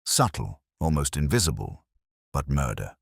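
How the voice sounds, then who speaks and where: Man as Victorian detective's companion, storybook reading, earnest, thoughtful, very low, dry close sound. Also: sing-song, moderate pace, vocal fry, slightly rough, no echo, exaggerated voice. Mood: grave, questioning.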